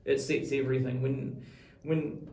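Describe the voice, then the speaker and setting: Gravelly New Zealand man in an echoey room. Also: mid-range voice, little pitch movement, a little slow, dark tone. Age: middle-aged. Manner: hesitant, thoughtful, repeats words while forming points. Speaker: radio executive, interview guest. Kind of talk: long-form interview conversation. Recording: roomy echo, distant from mic.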